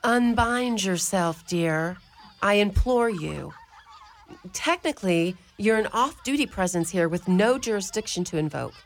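A woman's voice: mid-range voice, lively intonation, a little slow. A woman playing a kindly, wry and firm older Mother Superior in a community radio play. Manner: gently commanding, dryly teasing. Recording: noisy radio studio recording.

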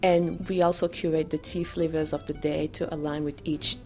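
Interview clip: woman business owner from Benin, low voice, emphatic and expressive. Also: normal speed, faint music behind. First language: French. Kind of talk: interview soundbites.

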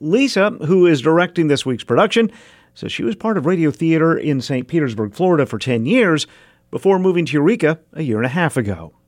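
Male radio host, scripted read, animated intonation, dry close studio sound. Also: a little high, a little fast, no echo.

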